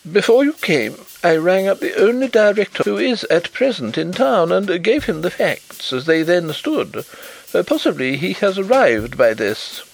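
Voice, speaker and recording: A man putting on a fussy, high-strung bank manager from a storybook, his voice pitched well above the narrator's and climbing. He stammers and repeats himself in agitation, with faint music behind. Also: very animated, normal speed, vocal fry, full character voice, rough recording. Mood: anxious.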